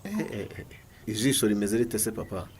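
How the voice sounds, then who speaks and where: A man's voice: lowish voice, steady tone, a little fast, darkish tone, slight background noise, natural voice. An actor playing a grown son who teases his father. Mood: teasing. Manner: teasing, light.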